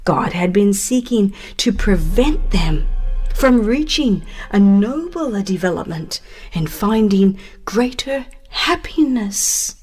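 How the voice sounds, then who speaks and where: A woman narrating a scripted religious audiobook in a solemn, deliberate, dramatized way with expressive phrasing. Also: mid-range voice.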